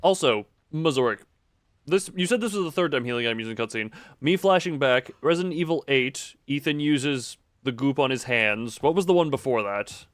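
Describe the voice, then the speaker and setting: A man commentates casually on the game he is playing. His voice sits at an average pitch. He rambles and tosses in jokey, exclamatory asides, and the recording is very dry and close. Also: lively intonation, moderate pace, dry recording. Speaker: live streamer playing a horror game. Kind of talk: casual live gameplay commentary.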